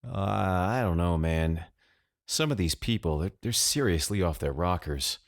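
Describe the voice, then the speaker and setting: A man plays a weary young friend in an audiobook story. The voice is low and dry, with hesitant, casual, downbeat delivery. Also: animated, unhurried, gravelly, no echo, full character voice. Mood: uneasy.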